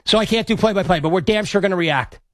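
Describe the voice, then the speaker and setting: Male radio sports host, high-pitched and a little hoarse, rambles and stumbles with nervous excitement, dry studio sound. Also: quick pace, no echo. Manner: excitable, rambling, stammers and repeats himself. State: slightly hoarse. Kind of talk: live game reaction talk.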